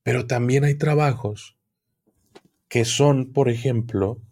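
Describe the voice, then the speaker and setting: A man, a guest expert on the occult, explaining things in a deliberate, unhurried way with frequent restarts. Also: mid-range voice, dark tone. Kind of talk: explanatory conversational talk.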